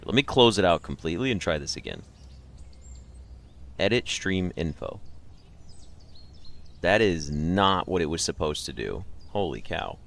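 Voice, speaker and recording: A man's voice: low-pitched, creaky. A man hosting a livestream, chatting casually with viewers in a rambling, joking, slangy way. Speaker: livestream host. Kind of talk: casual chat with live stream viewers.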